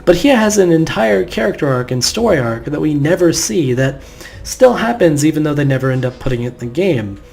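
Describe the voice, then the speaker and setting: A man's voice: on the high side, expressive, brisk, vocal fry, darkish tone, soft background music. A man giving casual, rambling commentary over a video game playthrough in an offhand way. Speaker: gamer narrating a playthrough. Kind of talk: casual let's play commentary.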